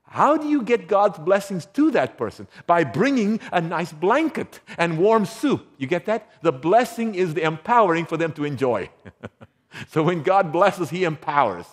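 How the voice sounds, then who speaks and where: A man's voice: high voice, very animated, normal speed. A man from South Africa preaching a sermon, quizzing the congregation with questions and chuckling between his points. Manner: engaging, quizzes the audience with questions.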